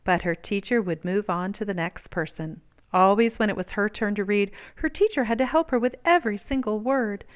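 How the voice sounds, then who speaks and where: A woman with a clean, resonant voice narrates a children's picture book. Her pitch sits in the middle range, and she phrases the story expressively, with measured emphasis on key words. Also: smooth. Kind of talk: expressive read-aloud narration.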